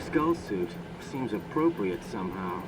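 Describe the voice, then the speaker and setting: An actor playing an earnest young special-forces infiltrator in a video game, reporting in a clipped, businesslike way. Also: male voice, lowish voice, very animated, unhurried, distant mic, very noisy. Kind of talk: scripted video game voice acting.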